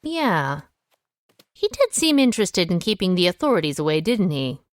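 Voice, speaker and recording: A woman's voice: fairly low pitch, sing-song, normal speed. A woman playing a guarded, independent small-town amateur sleuth in an audio drama, with a wry, reserved manner. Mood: wry, businesslike. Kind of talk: audio drama dialogue acting.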